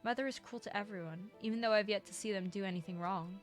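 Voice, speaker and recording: A woman's voice: medium pitch, quick pace, soft background music. A woman reading a visual novel aloud for a playthrough. She alternates between earnest narration and playful, laughing commentary. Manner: laughs often, mixes dramatic narration with joking asides. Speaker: let's play host.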